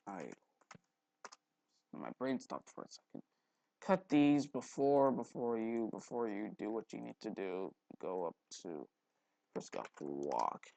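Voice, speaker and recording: A man hosting a casual, unscripted screen tutorial, haltingly repeating words and muttering to himself, recorded on a headphone mic at his neck. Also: on the low side, expressive, gravelly, mellow. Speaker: tutorial host. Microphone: headphone mic held at the neck.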